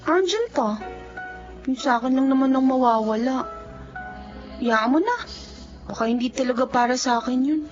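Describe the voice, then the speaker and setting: Woman playing resilient twenty-year-old Filipina daughter, high voice, gently firm, TV drama, slightly dull sound. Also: normal speed, long pauses, natural voice. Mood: resigned. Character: selfless, resilient young working daughter who gave up studies. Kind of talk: Filipino television drama acting. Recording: dull audio under music.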